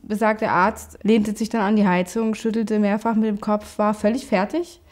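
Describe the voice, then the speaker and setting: A young adult woman telling her cancer experience in an earnest, halting way. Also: mid-range voice, steady tone, a little mellow. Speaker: cancer patient. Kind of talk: personal testimony.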